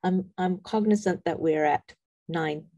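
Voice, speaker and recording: A woman raised in Zambia, interviewing a guest over a video call from a small room, soft-spoken and attentive. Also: fairly low pitch, measured pace, dry recording, muffled recording.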